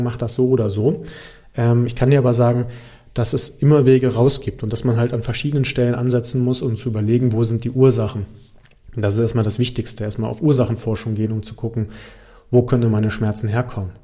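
A man with a soft, mellow voice at a middle pitch hosts an informal question-and-answer talk. He explains things like a coach, conversationally and straight to the listener, often adding short check-in tags. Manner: conversational, direct address with frequent check-in tags. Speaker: host, pain and movement coach.

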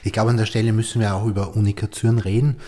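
A man's voice: fairly low pitch, level tone, racing, mellow. A man with an Austrian German accent hosting a podcast interview, asking questions hesitantly and often murmuring agreement. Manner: frequent backchannel, hesitant questions. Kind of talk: conversational interview.

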